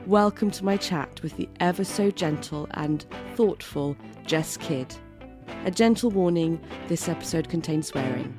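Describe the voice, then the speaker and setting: A woman with a West Yorkshire accent, hosting a podcast and reading her introduction in a soft, measured, earnest way. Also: deep voice, music in the background. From England. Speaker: podcast host. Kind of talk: scripted podcast intro, then interview.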